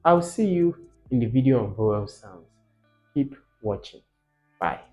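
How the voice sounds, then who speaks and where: A man's voice: medium pitch, lively intonation, a little slow, dark tone, highs cut off, soft background music. A man teaching informally as a video host, emphatic, repeating key words and putting rhetorical questions to the learner.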